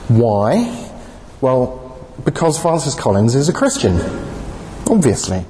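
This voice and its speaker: A man giving an academic lecture to students, with a slightly croaky voice and a wry, animated manner. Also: medium pitch, slow. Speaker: guest speaker, philosopher. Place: lecture hall. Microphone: handheld microphone. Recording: long hall reverb, faint noise.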